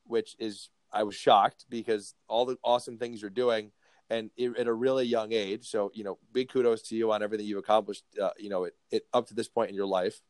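A man's voice: lowish voice, steady tone, a little fast, no room sound. A man hosting a podcast interview, talking casually and often restarting and repeating words. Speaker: podcast host.

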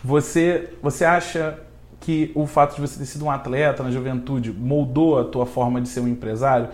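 A man from Brazil hosting a podcast who puts his questions to the guest in a measured way. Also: mid-range voice, steady tone, darkish tone. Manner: joking opener, then measured questions. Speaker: podcast host. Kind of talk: casual podcast interviewing. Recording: roomy podcast room.

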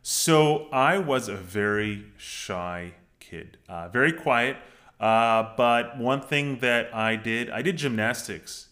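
Bright-voiced playful man, joking co-host. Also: medium pitch, takes it slowly. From Los Angeles. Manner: playful, joking, trails off with fillers. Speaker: podcast co-host. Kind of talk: casual chat.

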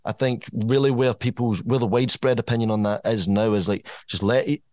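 A man's voice: medium pitch, fairly even intonation, brisk, a little mellow, no echo. A Scottish rapper talking as a podcast guest, thoughtful and frank, rambling a little as he works through his views. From Scotland. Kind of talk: casual podcast chat.

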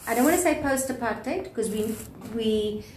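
A woman's voice: on the high side, normal speed, a little mellow. A middle-aged woman with a South African accent, interviewing conversationally as a podcast host. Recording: echoey room, faint background noise.

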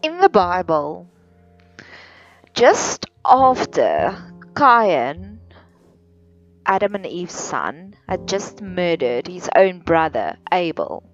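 A woman's voice: on the low side, very animated, extremely slow, pauses often. A woman from South Africa, a native Afrikaans speaker with a South African accent, delivering a careful solo podcast monologue with occasional restarts. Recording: dull lo-fi sound with faint music.

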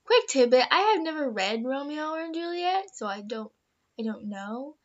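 A teenage girl co-hosts an improv comedy podcast in a high voice. She rambles in a playful, theatrical way. The sound is dull and lo-fi. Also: big pitch swings, normal speed. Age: teens. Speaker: podcast co-host.

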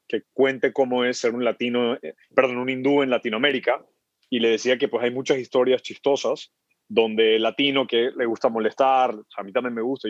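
A man's voice: mid-range voice, no echo. Man from Ecuador, casual interview guest, muffled lo-fi sound, dry, repeats words as he thinks. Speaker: startup founder, podcast guest.

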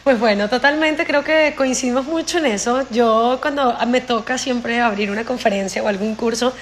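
A woman's voice: on the high side, normal speed, slight background noise. A woman from Venezuela with a Venezuelan accent tinged with Mexican, chatting as a podcast guest in an upbeat, colloquial way. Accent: Venezuelan Spanish with a Mexican touch. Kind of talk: casual interview chat.

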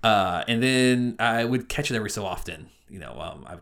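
A man's voice: lowish voice, expressive, normal speed, creaky, a bit bright. A man in his forties co-hosting a podcast in a casual, chatty way. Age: 40s. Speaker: podcast co-host.

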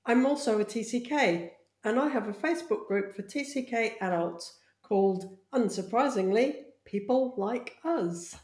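A woman's voice: on the high side, a little slow, darkish tone, husky. A woman hosting a podcast, welcoming her guest and asking questions, sometimes repeating words as she goes. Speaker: podcast host.

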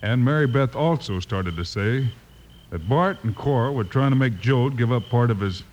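A man's voice: mid-range voice, animated, normal speed, a little mellow, muffled recording, close to an everyday voice. A man playing a firm, level-headed American lawman hero in an old-time radio western. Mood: thoughtful. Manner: firm, matter-of-fact.

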